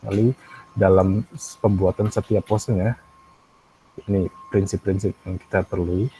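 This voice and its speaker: A man with an Indonesian accent teaching an animation software tutorial, thinking aloud in a halting way with frequent pauses. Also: deep voice, little pitch movement, a little hiss or hum, low-quality recording. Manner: halting, pausing often, frequent throat clearing. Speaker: animation instructor.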